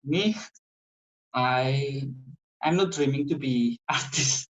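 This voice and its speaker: A young Indonesian man with an Indonesian accent answers casually in an interview. His mid-pitched voice comes in brief, halting phrases. The recording is slightly dull and lo-fi. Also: fairly bright tone. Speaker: 2D animator, interviewee.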